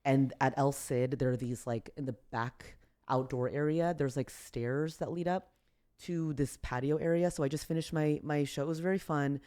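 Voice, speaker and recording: A woman with a deep voice, a comedian guest telling a story in casual podcast chat, relaxed and low-key. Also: moderate pace. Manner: relaxed, low-key, acts out quotes with raised voice.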